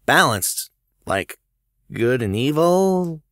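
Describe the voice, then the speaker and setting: Give this audio drama part to a woman voicing a sarcastic, flustered teenage boy. The delivery swings wildly in pitch over a low base for a female voice, recorded dry and close. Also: big pitch swings, takes it slowly, a bit bright, no room sound, exaggerated voice. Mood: hesitant. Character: teenage schoolboy Sam, flustered, sarcastic reluctant hero. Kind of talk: fan audio drama acting.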